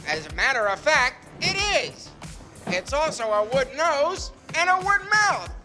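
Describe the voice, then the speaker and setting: A man's voice: very high voice, lively intonation, slow, pushed and bright, soft background music, heavily acted. A man voicing a wisecracking wooden ventriloquist dummy with jokey, exaggerated stage patter in a TV drama.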